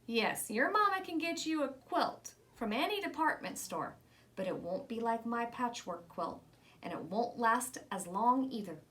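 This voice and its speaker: Storybook grandma, played by a woman: elderly, folksy, fond, proud, lively intonation. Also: fairly high pitch, measured pace. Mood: earnest. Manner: folksy dialect with ain't and gonna. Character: wise, patient old grandmother, fond and proud. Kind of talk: children's storybook read-aloud.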